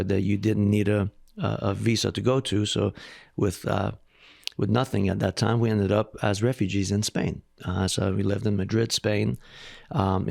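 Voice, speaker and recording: Low gravelly middle-aged man, earnest. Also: darkish tone, no echo, studio quality. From Iran. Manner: earnest, reflective, speaks with conviction. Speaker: hospital president, guest. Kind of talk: interview, personal storytelling.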